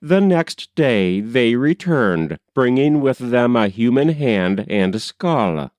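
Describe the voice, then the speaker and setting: Slow, clear man reading aloud. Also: medium pitch, expressive, a little mellow, dry recording, studio quality. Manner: measured, formal storytelling delivery. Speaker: audiobook reader. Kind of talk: scripted narration of a historical memoir.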